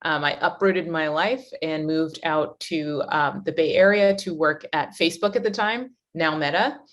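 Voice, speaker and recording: A woman from Washington DC introducing herself on a panel over a video call, gracious and measured. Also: deep voice.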